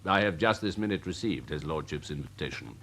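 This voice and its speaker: An actor playing a pompous, snobbish older Victorian major with an upper-class English accent, fussy and blustering, in a radio drama. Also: male voice, extremely low pitch, expressive, brisk, fairly bright tone, slight background noise, exaggerated voice. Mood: smug, fussy. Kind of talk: British radio drama acting.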